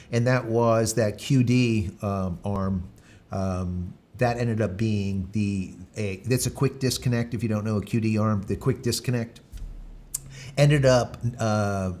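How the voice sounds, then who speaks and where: A man's voice: lowish voice, darkish tone. A man hosting a live stream, chatting casually, rambling, with many fillers and restarts. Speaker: livestream host.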